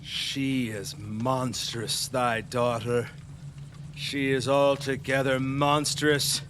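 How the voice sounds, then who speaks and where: A man's voice: medium pitch, fairly even intonation, extremely slow, background noise. A man playing an imperious, superstitious and appalled king, declaiming in a theatrical classical audio drama. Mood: appalled, grave. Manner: declamatory, commanding.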